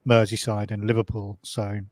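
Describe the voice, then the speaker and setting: A man's voice: lowish voice, level tone, moderate pace, a little mellow, no echo. Dry, wry British man, close-sounding. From North Wales coast. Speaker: livestream panel guest. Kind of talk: casual chat.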